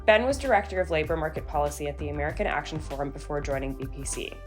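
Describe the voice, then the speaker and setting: A woman's voice: sits low, rapid-fire. A woman host reading a formal scripted podcast introduction over faint music.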